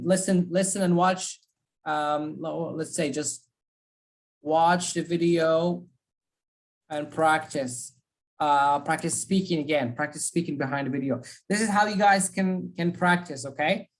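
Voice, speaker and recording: Woman teacher on a video call, a bit low-pitched, drilling points home with repetition and frequent "okay?" checks. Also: slow pace. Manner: repeats key phrases, checks in with "okay?". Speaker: English speaking teacher. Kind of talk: giving feedback in an online class.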